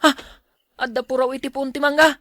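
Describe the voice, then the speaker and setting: A woman's voice: sits high, level tone, brisk, a bit bright, no echo. Woman playing a bashful, easily spooked schoolboy, dry sound. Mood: terrified. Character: timid, easily frightened schoolboy Aljun, polite and bashful. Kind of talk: audio drama acting.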